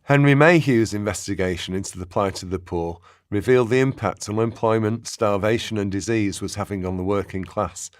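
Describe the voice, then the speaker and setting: A man acting as a sober narrator, giving measured, expository documentary-style narration in a radio play. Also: fairly low pitch, no echo, clean studio sound, natural voice. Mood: sober, explanatory. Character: sober male historical narrator.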